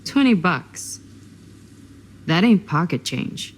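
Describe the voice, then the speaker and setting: An actress playing a worn-down young American big sister in a video game drama, talking in a wry, sardonic way. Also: female voice, on the low side, very animated, slow pace, slight background noise. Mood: dry bargaining. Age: young adult.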